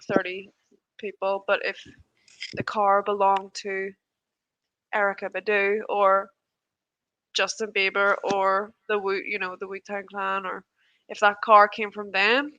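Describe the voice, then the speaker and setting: A woman's voice: medium pitch, sharp tone, dull audio. A woman hosting a casual livestream chat, talking in a chatty, hesitant way with lots of filler words. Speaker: host of a livestream chat show.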